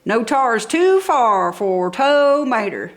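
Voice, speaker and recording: Storybook tow truck, male, good-natured country bumpkin with folksy drawl, played by a woman reader, pitched fairly high. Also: very animated, takes it slowly, full character voice. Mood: folksy, easygoing.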